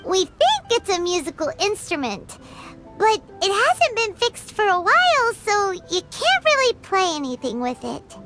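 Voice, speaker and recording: A woman voicing a tiny, curious, childlike fairy companion in a video game, with a squeaky voice and a cute, earnest manner. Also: wildly swooping pitch, a little slow, heavily acted. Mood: hesitant. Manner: cute, earnest, refers to herself by name. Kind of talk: video game character acting. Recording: loud game music behind the voice.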